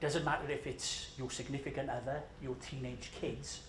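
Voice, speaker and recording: A man's voice: mid-range voice, level tone, moderate pace, a bit bright. A Scottish man giving a conversational, self-deprecating presentation at a conference, jet-lagged and full of fillers. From Scotland. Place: large conference room. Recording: echoey conference room with faint noise.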